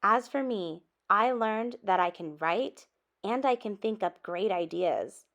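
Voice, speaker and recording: A woman reading a children's story aloud expressively, with dramatic emphasis and drawn-out words. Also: mid-range voice, a bit bright, highs cut off. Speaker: storybook reader.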